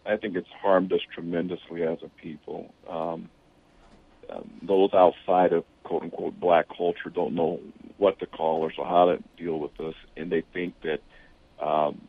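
A man with a very low, gravelly voice talks in a measured, earnest way, often stammering "I, I" as he begins a thought. He comes through a tinny phone line with a dry sound. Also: little pitch movement, dry recording. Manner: measured, earnest, repeats words when starting. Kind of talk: discussion on a radio talk show.

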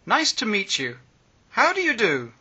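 A man slowly reading short phrasebook sentences one by one, with pauses between phrases, as a language lesson. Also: sits high, huge pitch swings, bright, forceful tone, breathy edge. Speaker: language teacher.

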